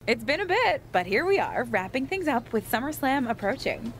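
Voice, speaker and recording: A woman's voice: high-pitched, big pitch swings, moderate pace, a bit bright, slight background noise. An actress playing a wry, chatty documentary interviewer in a wrestling video game cutscene, probing as she talks.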